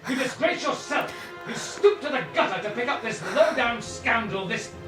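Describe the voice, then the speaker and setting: A man's voice: very high-pitched, expressive, normal speed. An actor playing a furious, domineering father in a radio drama, thundering with contempt. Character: furious, domineering father disowning his daughter. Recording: echoey, distant, music behind.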